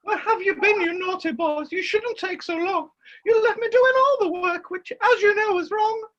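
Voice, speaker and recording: A woman's voice: very high voice, normal speed, fairly bright tone, muffled recording. A woman playing a scolding, dramatic widowed mother in a rhyming pantomime, with an exaggerated comic delivery.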